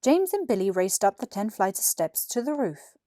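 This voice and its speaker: A British woman in her twenties reading a children's story in an expressive, clearly articulated narration. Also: mid-range voice, normal speed, no echo, clean studio sound. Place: small furnished room indoors. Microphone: lapel mic.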